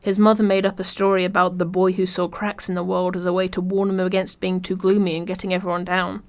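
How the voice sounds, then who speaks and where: A woman's voice: fairly low pitch, level tone, fast talker. Wry woman narrating a video essay.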